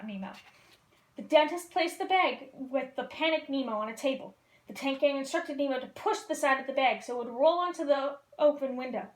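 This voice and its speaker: A woman reading a children's picture book aloud as a costumed storyteller, in a lively, expressive way. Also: sits high. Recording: roomy echo.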